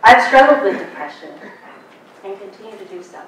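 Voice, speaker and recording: A young woman giving a prepared commencement speech in a measured, earnest way, speaking at a podium in a large lecture hall. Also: medium pitch, very animated. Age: young adult. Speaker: graduate student commencement speaker. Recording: distant, very echoey hall, faint noise.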